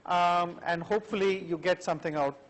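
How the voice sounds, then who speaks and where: A man's voice: sits high, steady tone, brisk, slight background noise, poor audio. A man giving informal welcome remarks as organiser of a workshop. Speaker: workshop organiser opening the event.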